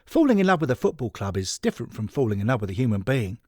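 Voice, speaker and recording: A man's voice: medium pitch, sing-song, quick pace, dark tone, no room sound, clean studio sound, pitched below the performer's own voice. A man voicing an earnest, literal-minded and sentimental male football fan devoted to his partner in an audio story.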